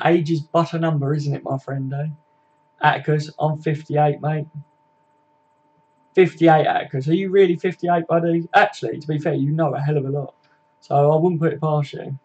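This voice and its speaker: A man's voice: on the high side, darkish tone, slightly rough, lo-fi sound. A man, hosting a casual live stream, chatting matily with viewers and laughing easily. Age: 20s.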